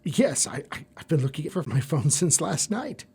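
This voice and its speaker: An actor playing a flustered, indignant male college professor in an audio drama, husky and stammering. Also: fairly high pitch, very animated, moderate pace, dark tone, exaggerated voice. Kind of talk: scripted audio drama acting.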